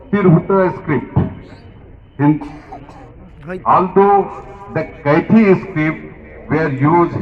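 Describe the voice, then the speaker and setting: A man delivers a formal speech, his voice a little higher than most men's. He reads haltingly, repeating and restarting words as he goes. The recording sounds dull and muffled. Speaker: speaker at a language day event.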